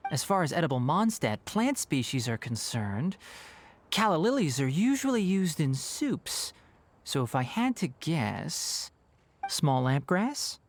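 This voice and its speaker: Dry, expressive young scholar. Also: male voice, a little high, a little slow, a little hiss or hum. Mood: thoughtful. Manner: precise, articulate, dryly sardonic. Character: young male scholar, sharp-witted, dry and analytical. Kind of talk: video game voice acting.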